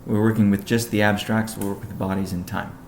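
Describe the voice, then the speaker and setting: A man giving a business briefing on a conference call as a company executive, in a measured, halting way with technical jargon. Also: lowish voice, little pitch movement. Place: conference call, echoey room. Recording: echoey room, faint background noise.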